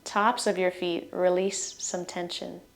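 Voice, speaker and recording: A woman leading a guided relaxation in soothing, gentle short phrases that trail off softly at the ends. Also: lowish voice, flat delivery, a little slow. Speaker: meditation guide.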